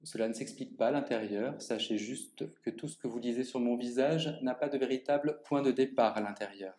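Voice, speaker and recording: A man's voice: medium pitch, warm tone. Man giving a theatrical reading in an echoey gallery. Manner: measured, theatrical delivery addressing the audience directly. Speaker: author reading his own text. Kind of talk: public literary reading, first-person monologue. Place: art gallery.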